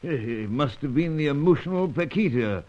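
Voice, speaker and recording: An actor playing a fussy, gentlemanly British intelligence chief in a radio spy serial, hemming and halting in old-fashioned phrasing with a British accent. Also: male voice, a little high, animated, close to an everyday voice. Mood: flustered. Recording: dull, lo-fi radio serial recording.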